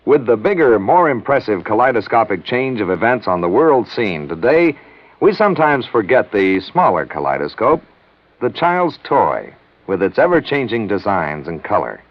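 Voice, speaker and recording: A male announcer reading a scripted public service message in an earnest, measured way. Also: lowish voice, big pitch swings, no room sound. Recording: dry, dull vintage radio.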